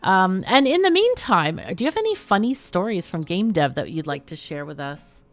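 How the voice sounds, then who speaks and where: A woman's voice: lowish voice, very animated, moderate pace. A woman hosting a casual gaming livestream, chatty and playful as she comments on the game and puts questions to her guests. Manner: chatty, playful, laughs often. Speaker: livestream host and gamer.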